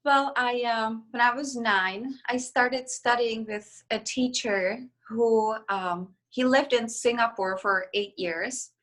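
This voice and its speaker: Young woman violinist from the Czech Republic, bright and clear, animated and chatty in an interview. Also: on the high side, slow, few pauses. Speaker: violinist and festival artistic director. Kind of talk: casual interview conversation.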